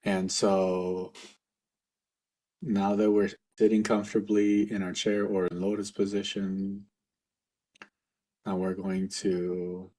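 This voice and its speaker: Male meditation facilitator, husky, very level intonation, reassuring, informal, unhurried. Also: low-pitched, mellow. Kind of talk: guided meditation, spoken slowly and informally to a group.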